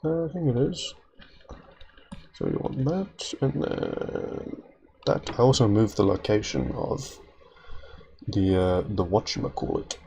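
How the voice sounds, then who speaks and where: A man's voice: on the low side, sing-song, slow pace, creaky, pauses often, mellow, husky. A man streaming from home, a little nasal while recovering from an illness, rambling to himself as he sets up his stream. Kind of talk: casual stream setup chatter.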